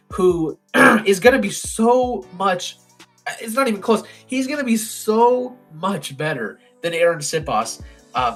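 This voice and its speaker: Hoarse young man talking football picks. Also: high voice, fairly bright tone. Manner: opinionated, plain-spoken with frequent ums. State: hoarse, voice going raspy. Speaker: football draft analyst. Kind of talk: casual solo commentary.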